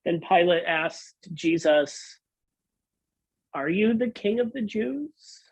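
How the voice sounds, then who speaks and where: Pastor reading scripture dramatically. Husky voice, medium pitch, dry close sound. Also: expressive, takes it slowly, dry recording.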